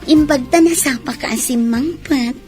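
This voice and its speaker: A woman's voice: high voice, moderate pace, mellow, faint music behind, natural voice. A woman playing a scheming, jealous mistress in a radio drama, speaking slyly. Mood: coaxing. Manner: sly, plotting.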